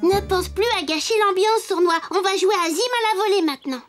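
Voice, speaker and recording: A woman's voice: very high-pitched, a little fast. A woman voicing a friendly, cheerful little child in a children's cartoon, eager and encouraging. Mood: cheerful, inviting. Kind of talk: children's animated cartoon dubbing. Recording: music playing behind the voice.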